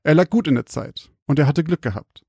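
A man with a slightly creaky voice, hosting and telling stories on a podcast. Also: a little high, expressive, brisk, warm tone, dry recording, studio quality. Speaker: podcast host and storyteller. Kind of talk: casual banter and scripted horror storytelling.

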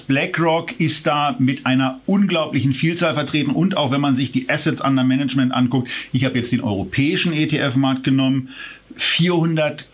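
A male host putting long, lively questions to a guest. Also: mid-range voice, breathy edge. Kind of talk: interview questions.

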